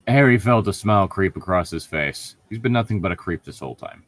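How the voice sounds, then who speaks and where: Man, very deep voice, dry close sound, sardonic read-aloud narration, irreverent asides. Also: lively intonation, brisk, dry recording. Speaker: co-host reading fan fiction aloud with mocking commentary.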